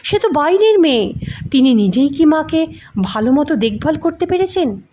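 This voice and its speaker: A woman's voice: sits high, very animated, normal speed, dark tone, slight background noise. A woman reading a ghost story as dramatic scripted narration. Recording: music under the voice. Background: background music.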